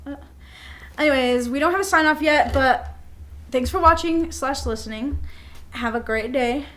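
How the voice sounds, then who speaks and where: High-pitched, bright young woman chatting casually. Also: normal speed. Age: young adult. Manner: giggly, informal, frequent swearing. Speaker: podcast co-host. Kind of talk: casual unscripted chat between friends.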